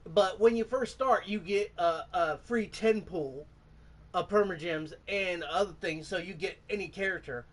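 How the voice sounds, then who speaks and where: High-pitched man rambling through gameplay, distant mic. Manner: halting, rambling, groping for words. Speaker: gaming channel host. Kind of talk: casual gameplay commentary.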